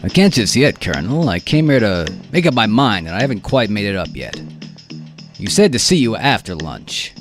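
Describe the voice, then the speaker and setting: A man's voice: on the low side, big pitch swings, moderate pace, soft background music. A man playing a nervous, earnest young junior officer in a radio drama, stammering and hesitant as he stalls. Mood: anxious, earnest. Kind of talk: live science fiction radio drama acting.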